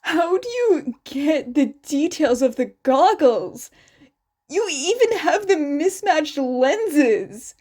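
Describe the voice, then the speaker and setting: Female reader as gushing male fan, chatty, friendly, bright-eyed eagerness, high animated voice. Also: slow pace, exaggerated voice.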